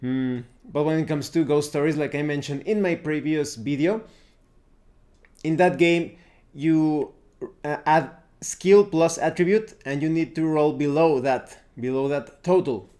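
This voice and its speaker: A man presenting an unscripted game review, explaining things deliberately and often pausing mid-sentence. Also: fairly high pitch, warm tone. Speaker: tabletop RPG reviewer, presenter.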